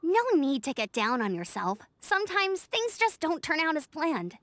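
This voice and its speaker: A woman's voice: sits high, very animated, normal speed, dry recording. A woman playing a perky, upbeat young scout in a video game, friendly and encouraging. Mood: cheerful, encouraging. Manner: bright-spirited, encouraging, friendly. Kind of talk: scripted video game character acting.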